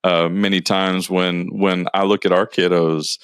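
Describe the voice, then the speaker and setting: A middle-aged man with a fairly low voice, recorded dry and close. He chats casually as a co-host, folksy and self-deprecating, often halting as he goes. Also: flat delivery, clean tone, dry recording. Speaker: co-host of a parenting and family talk show. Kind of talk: casual conversational chat.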